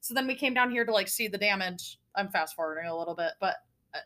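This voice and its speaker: Young woman, nasal, animated, profane, casual podcast storytelling. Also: mid-range voice, quick pace. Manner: animated, swears often, tells stories breathlessly. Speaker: podcast co-host.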